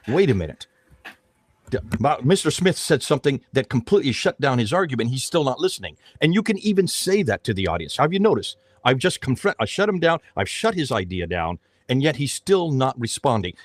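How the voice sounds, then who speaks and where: A middle-aged man talks on a panel with a lively, rising and falling pitch. He repeats phrases for emphasis and often restarts. His voice is close and dry. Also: no echo. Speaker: host of a panel discussion, street preacher. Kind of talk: animated casual panel talk.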